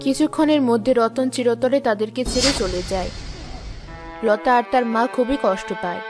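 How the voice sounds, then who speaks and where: A woman's voice: fairly high pitch, moderate pace, music in the background. A woman narrator reading a scripted children's story.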